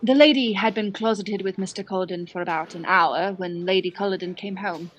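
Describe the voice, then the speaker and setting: Actress as a gossipy, naive parlour maid. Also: female voice, medium pitch, a little fast, a little hiss or hum, natural voice, rough recording. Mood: eager recounting. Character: naive, chatty young ex-parlour maid, aggrieved and eager. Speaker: actor in a radio play. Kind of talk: dramatic radio-play acting.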